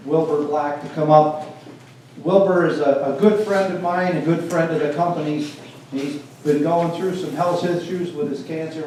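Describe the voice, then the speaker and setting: A man's voice: fairly high pitch, darkish tone. A man acting as master of ceremonies, formally introducing speakers to a gathering. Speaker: master of ceremonies, fire company member. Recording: very echoey, distant microphone, faint noise.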